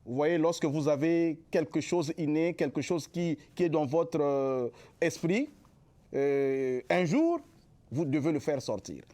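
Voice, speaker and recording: A young man from the Republic of the Congo, a musician guest answering in a TV interview, halting with many drawn-out fillers. His is Lari. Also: on the high side, lively intonation, warm tone. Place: local TV studio.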